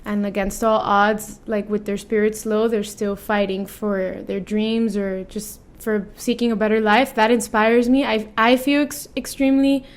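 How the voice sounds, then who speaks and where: A woman in her twenties, from Venezuela, speaking as a guest in a relaxed interview. She thinks aloud and restarts often, sprinkling Spanish words into her English. Her voice sits at a middling pitch. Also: a little slow, few pauses. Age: 20s. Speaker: singer-songwriter guest. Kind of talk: casual interview conversation.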